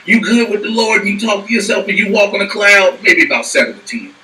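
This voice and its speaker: A man's voice: high-pitched, sing-song, quick pace, sharp tone. A man joking and teasing in casual, slangy, profane banter as a panelist. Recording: roomy, distant mic, noisy.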